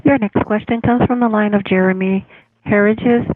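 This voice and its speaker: A woman's voice: mid-range voice, fairly even intonation, normal speed, dry recording. A woman working as a conference call operator, making scripted announcements over a telephone. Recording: dry, narrow phone line.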